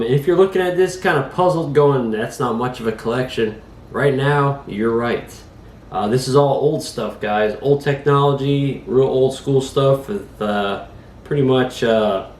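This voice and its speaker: A man's voice: mid-range voice, a little mellow. Man, getting over a cold, casual gear reviewer, folksy and rambling, echoey kitchen, faint background hum. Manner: folksy, chatty, many fillers. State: recovering from a cold. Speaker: host of a drone review channel. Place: home kitchen table.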